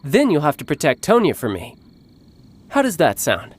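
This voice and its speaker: A man playing a cocky, playful young warrior and doting older brother in a video game, smooth-talking and teasing. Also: a little high, huge pitch swings, moderate pace, slight background noise. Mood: gentle, patient. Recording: background music under the voice.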